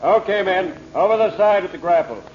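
Brisk police captain, highish voice. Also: male voice, expressive. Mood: brisk, commanding. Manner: commanding, brisk. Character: brisk, businesslike male police river captain. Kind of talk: old-time radio drama acting. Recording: muffled, noisy old radio recording.

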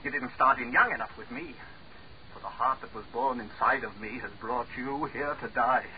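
An actor playing an embittered, fatalistic rebel with a grim, taunting, oratorical delivery in the style of 1940s radio horror. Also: male voice, mid-range voice, animated, moderate pace, a crowd in the background, natural voice. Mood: impassioned defiance. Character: embittered rebel saboteur, fatalistic, resolved to die avenging his country. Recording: muffled, noisy old 1940s radio recording.

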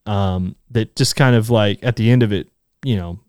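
A man's voice: fairly low pitch, normal speed, a little mellow, no room sound, studio quality. A man co-hosting a book podcast, easygoing, often tagging his points with "you know" and "right?". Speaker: podcast co-host. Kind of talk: casual book discussion.

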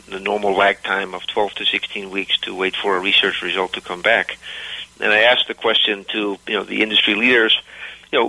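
Bright-voiced Dutch-accented American man. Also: on the low side, no echo, low-quality recording. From United States. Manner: measured, filler-heavy storytelling. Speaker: guest, company founder. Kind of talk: interview answers.

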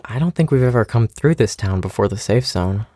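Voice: medium pitch, lively intonation, brisk, mellow, husky, no room sound. An actor playing an anxious, eager young male survivor in an audio drama. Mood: uneasy.

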